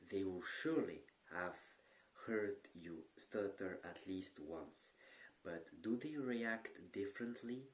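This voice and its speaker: A young man sharing advice in a calm, earnest manner, with occasional brief stutters. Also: sits low, poor audio. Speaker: stuttering coach. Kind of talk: talking-head advice vlog.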